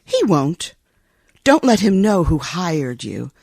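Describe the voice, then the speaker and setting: Actress as a sultry femme fatale, husky voice, radio noir drama, controlled, blunt, dry close recording. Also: female voice, lowish voice, very animated, takes it slowly, a little mellow, no echo, exaggerated voice. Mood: firm, commanding. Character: cool, sultry, tough femme fatale client. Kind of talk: old-time radio detective acting.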